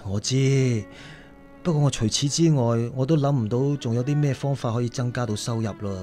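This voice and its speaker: A devoted working-class father voiced by a man in a radio play, mid-pitched, with a Hong Kong Cantonese accent. He is hesitant and apologetic, and music plays faintly behind him. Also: fairly quick, dark tone, close to an everyday voice. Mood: weary, resigned. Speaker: radio drama actor. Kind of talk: radio drama acting.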